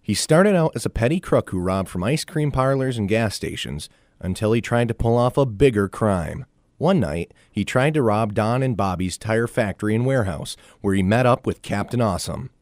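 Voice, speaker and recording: A man's voice: fairly low pitch, lively intonation, normal speed, dry recording, clean studio sound, close to an everyday voice. A man narrating a scripted adventure story in a theatrical storytelling manner.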